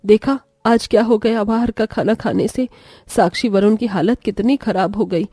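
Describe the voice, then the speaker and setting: A woman's voice: mid-range voice, normal speed, dark tone, slightly rough, no echo, studio quality, voice pushed down, lightly acted. A woman voicing a stern, reproachful older mother-in-law in a moral story drama. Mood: scolding. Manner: scolding, reproachful. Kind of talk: acted Hindi moral story dialogue.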